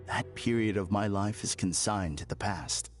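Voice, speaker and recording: An actor playing an unsettlingly calm, formal villain in a game cutscene, speaking in a measured, courteous way. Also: male voice, lowish voice, faint music behind, lightly acted. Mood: serenely polite. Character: eerily serene polite man, unsettling villain. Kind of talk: video game cutscene dub.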